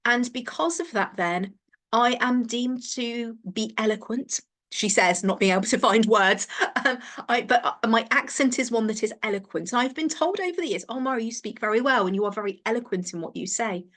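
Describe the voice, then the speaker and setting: A middle-aged woman with an English accent and no strong regional accent, leading a counselling training workshop and talking thoughtfully, often pausing to search for her words and restarting her sentences. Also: a little high, a bit bright. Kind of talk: live training workshop talk.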